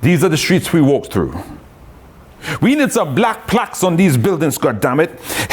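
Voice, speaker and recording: Trinidadian man, chatty and higher-pitched. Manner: loose and chatty, with many tag phrases. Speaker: poet. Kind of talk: conversation and poetry reading.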